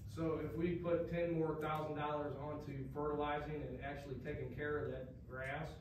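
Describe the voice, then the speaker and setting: A man's voice: on the high side, flat delivery, moderate pace. A man at a school board meeting asking plain, practical questions about costs. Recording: very echoey room, far from the mic, noise.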